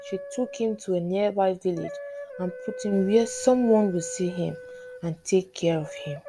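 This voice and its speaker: A woman storyteller reading a scripted folktale in measured, separate phrases. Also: fairly low pitch, rough recording. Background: flute music.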